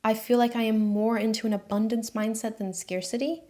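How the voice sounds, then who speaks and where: A woman's voice: fairly high pitch, flat delivery, brisk, mellow. A woman in her twenties with an American accent, a freelance designer talking candidly to camera in a casual vlog, with slangy asides. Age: 20s.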